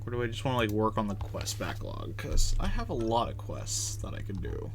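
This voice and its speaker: A man's voice: on the low side, huge pitch swings, fairly quick, slight background noise. A man live-streaming a game, chatting casually and thinking aloud in a rambling way. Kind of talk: casual livestream gameplay chat.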